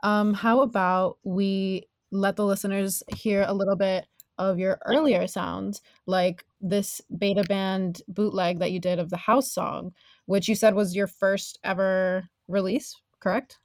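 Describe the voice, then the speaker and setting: A woman's voice: medium pitch, little pitch movement, measured pace, darkish tone, no room sound, clean studio sound. A woman who grew up in Miami, hosting a casual interview and speaking candidly, casual swearing and all. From Miami, United States. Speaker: podcast host.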